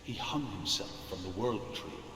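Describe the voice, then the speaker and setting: A man playing a solemn storyteller of old myths in a game, with measured, emphatic delivery. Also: deep voice, expressive, sharp tone, a little hiss or hum. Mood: grave. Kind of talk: dramatic myth storytelling in a game.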